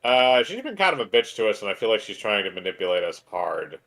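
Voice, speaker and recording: A man's voice: fairly low pitch, big pitch swings, quick pace, a bit bright. A man co-hosting a game playthrough in casual chat, with sarcastic, sweary remarks.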